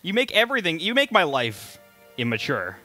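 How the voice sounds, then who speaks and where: A man's voice: a little high, wildly swooping pitch, fairly quick, sharp tone, no room sound. A man co-hosting a gaming video, bantering casually in a giggly, mildly exasperated way. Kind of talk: casual banter over gameplay. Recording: game music mixed behind the voice. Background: video game audio.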